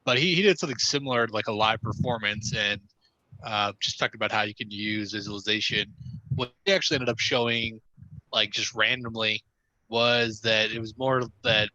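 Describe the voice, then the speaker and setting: A man with a fairly low but very bright, forceful voice offers a casual comment in class, phrasing it haltingly. The sound is dull, like an online call. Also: dry recording.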